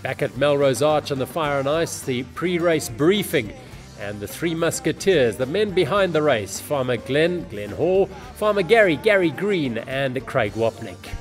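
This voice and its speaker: Guy narrating a race, bit higher voice, upbeat and steady, some music under it. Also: unhurried. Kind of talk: scripted documentary narration.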